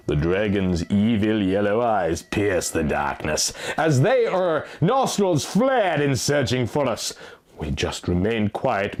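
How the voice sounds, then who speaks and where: A man's voice: medium pitch, darkish tone. A man reading a fantasy story aloud as dramatic, theatrical scripted narration. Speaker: audiobook reader.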